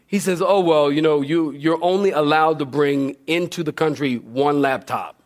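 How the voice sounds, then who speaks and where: Man, higher-pitched voice, pastor, animated storyteller, humorous, self-deprecating, re-enacted dialogue, live sermon. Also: moderate pace. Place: church, recorded live.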